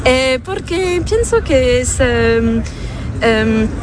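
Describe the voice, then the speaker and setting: Hesitant woman, slow, street noise. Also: high-pitched, expressive. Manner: hesitant, searching for words. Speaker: interviewee. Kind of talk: street interview. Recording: outdoor noise.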